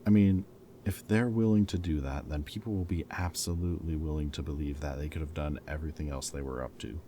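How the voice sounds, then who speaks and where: A man playing a protective, teasing former villain in an audio roleplay, with a wry, casual, halting delivery. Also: a bass voice, mellow, a little hiss or hum. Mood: earnest, reassuring.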